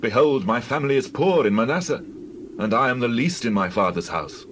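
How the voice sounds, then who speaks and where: A man's voice: medium pitch, lively intonation, a little fast, a bit bright, slight background noise, natural voice. An actor playing a humble, anxious Israelite man pleading earnestly in a scripture drama.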